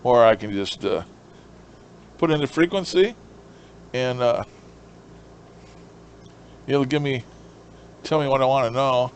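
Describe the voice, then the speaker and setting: A man's voice: medium pitch, takes it slowly, long pauses, a little hiss or hum. Folksy guy rambling on casually, explaining hobby stuff. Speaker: shortwave radio hobbyist presenter.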